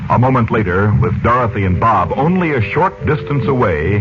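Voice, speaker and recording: A man's voice: mid-range voice, sing-song, normal speed. A man announcing a 1940s radio show in a scripted, theatrical and emphatic style. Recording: muffled 1940s radio recording. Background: loud music.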